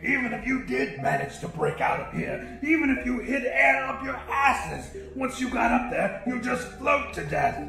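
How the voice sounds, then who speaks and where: A man voicing an enraged, cruel giant crab boss in an audiobook, ranting in a screechy, gravelly voice. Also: very high-pitched, huge pitch swings, normal speed, hardly any pauses, reverberant, music in the background, pitched above the performer's own voice, exaggerated voice, low-quality recording. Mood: scornful ranting. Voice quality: screechy, gravelly imitation of human speech. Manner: ranting, shouting, profane bullying. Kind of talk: audiobook character voice.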